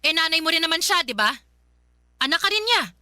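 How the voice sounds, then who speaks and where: A woman's voice: very high-pitched, big pitch swings, a little fast, bright, forceful tone, dry recording, studio quality, full character voice. A woman playing an exhausted, resentful young daughter in a Tagalog radio drama, speaking emphatically with a Filipino accent. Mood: bitter, fed up. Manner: emphatic, pleading, emotional outbursts.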